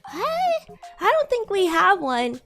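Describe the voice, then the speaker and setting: Bratty young female demon classmate played by a woman streamer: very high voice, boastful, teasing, easily flustered, roleplay stream. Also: huge pitch swings, slow, a bit bright, soft background music, exaggerated voice. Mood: chatty, hesitant. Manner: teasing, haughty, stammering, giggly. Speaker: VTuber streamer. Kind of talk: improvised roleplay livestream chatting with viewers.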